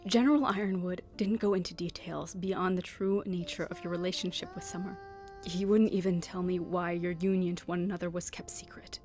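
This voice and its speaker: A woman's voice: lowish voice, steady tone, brisk, darkish tone, faint music behind, natural voice. A woman playing an earnest, anguished young heiress in love, pleading emotionally in a fan comic dub.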